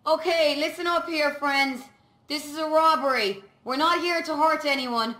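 A woman's voice: sits high, normal speed, pushed and bright. An actress playing a firm, controlled female bank robber in a video game, giving orders. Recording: echoing room sound.

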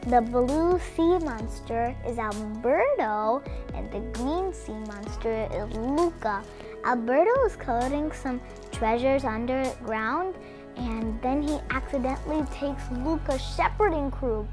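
A woman's voice: fairly high pitch, expressive, slow pace, over music. A young girl reading a picture book aloud and chatting about the pictures to her viewers. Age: child. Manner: reads text loudly, comments softly to viewers. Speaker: storybook reader.

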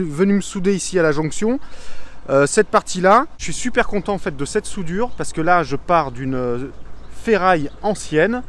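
An enthusiastic man in his forties talks through his repair work while filming himself on a phone outdoors. His voice sits a little high, and a faint hum of outdoor noise runs behind him. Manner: upbeat, enthusiastic, explaining as he goes. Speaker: vlogger doing bus repairs. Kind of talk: casual selfie vlog walkthrough. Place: outdoors in a rural yard beside a bus. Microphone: phone camera at arm's length.

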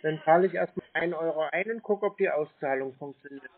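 A man answering a sales call in a casual, terse, deadpan way, sceptical and stonewalling. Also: a little high, a little fast. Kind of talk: casual phone conversation. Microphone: telephone call. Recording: muffled phone line.

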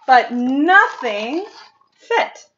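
A woman gives an expressive read-aloud of a children's storybook, unhurried and full of swoops in pitch. She stresses key words dramatically for young listeners. The sound is slightly dull, like a webcam microphone in a living room. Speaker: storytime reader. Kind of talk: animated children's picture-book read-aloud.

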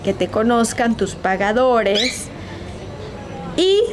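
Woman, 40s, lively coach, high-ish voice, outdoor balcony with clear street ambience. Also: slow pace, background noise. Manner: lively, uses rhetorical questions and formal "usted" address. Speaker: business coach. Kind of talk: informal financial advice talk to camera. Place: outdoor balcony overlooking hills.

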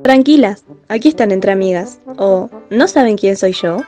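A woman's voice: medium pitch, animated, moderate pace, mellow, lightly acted. A woman playing a confident, chatty grown-up fairy-tale heroine in a radio play. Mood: assured. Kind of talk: radio theatre acting. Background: music.

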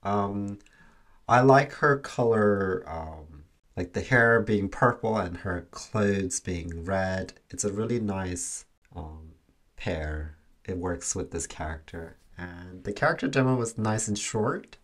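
A man's voice: low voice, animated, slow pace, fairly bright tone. A young man giving casual reaction commentary as the host of a video.

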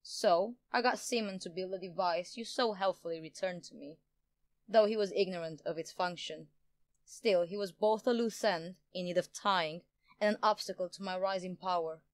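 An actress plays a haughty, power-hungry stateswoman in an audio drama. Her voice sits a little low for a woman and is recorded very dry. She speaks with smug, theatrical pomposity, relishing her own schemes. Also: normal speed, dry recording, natural voice. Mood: smug, boastful. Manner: theatrical, pompous, self-satisfied. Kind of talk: audio drama villain acting.

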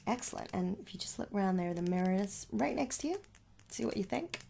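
A young woman speaking softly in an ASMR shop roleplay, coaxing the listener with little questions in a husky voice. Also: medium pitch, animated, a little fast, a little hiss or hum. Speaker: ASMR performer playing a makeup shop seller. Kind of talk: soft-spoken ASMR roleplay. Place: small room at home.

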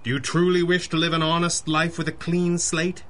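Guy doing masked pulp hero man, formal n commanding, bright forceful voice, radio play. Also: fairly high pitch, little pitch movement, moderate pace, lightly acted. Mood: stern, calculating. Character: commanding, solemn masked male crime fighter. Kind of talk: 1930s-style radio drama acting.

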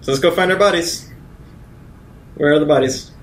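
A man bantering casually as a player in a tabletop game. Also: normal speed. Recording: roomy echo, faint rumble.

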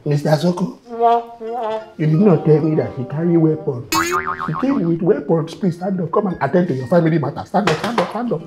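A man's voice: on the high side, animated, fairly quick. A man with a Nigerian accent playing a cheeky, bargaining medicine shopkeeper in a comedy skit. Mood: nervous, mock-grand. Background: loud music.

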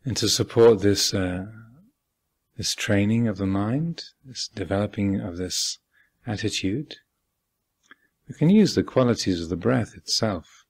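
A man with a husky voice guiding a meditation as a teacher, speaking calmly and deliberately with long pauses. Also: on the low side. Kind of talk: guided meditation instruction. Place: meditation hall at a retreat.

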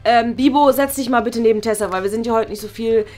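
Eine Frau spielt eine genervte, abfällige Vertretungslehrerin in einem Schulsketch und redet fahrig in abgebrochenen Sätzen. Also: female voice, on the high side, fast talker, darkish tone, close to an everyday voice. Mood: dismissive, brisk. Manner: rambling, breaks off sentences, exasperated. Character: irritable, dismissive female substitute school teacher, easily exasperated. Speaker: substitute teacher in a sketch series. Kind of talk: improvised school sketch acting.

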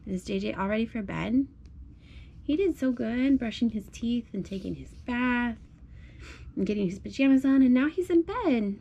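A woman with a fairly high voice reads a bedtime picture book to a little one. She speaks in simple, repeated phrases with a sing-song lilt, encouraging the child along as a parent would. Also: lively intonation, normal speed, creaky, warm tone, muffled recording, a little hiss or hum. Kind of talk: child-directed storybook read-aloud. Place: indoors at home, on a blanket.

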